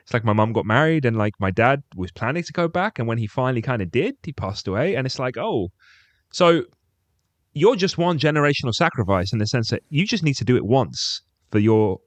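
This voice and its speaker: A man's voice: mid-range voice, big pitch swings, fairly quick, no echo. A man co-hosting a podcast, talking in a thoughtful, reflective way and often adding "you know". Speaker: podcast co-host. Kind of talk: casual chat.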